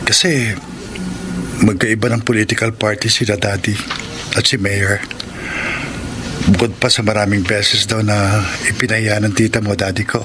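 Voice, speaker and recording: A man's voice: lowish voice, flat delivery, normal speed, pauses often, background noise, natural voice. A man playing a polite, ardent young Filipino college suitor in a radio drama. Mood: earnest. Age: young adult.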